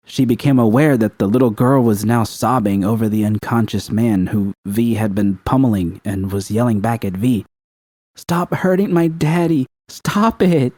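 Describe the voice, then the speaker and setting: A man's voice: lowish voice, fairly even intonation, moderate pace, few pauses, mellow, no room sound. A man reading scripted fiction as an audiobook narrator.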